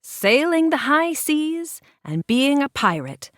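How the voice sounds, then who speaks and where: Lady doing storytime read aloud, takes her time, lots of up and down in the voice, deliberate pauses. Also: sits high, sing-song, fairly bright tone, no echo, studio quality. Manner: measured, deliberate phrasing with dramatic pauses. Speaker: children's storybook reader. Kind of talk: expressive read-aloud narration of a picture book.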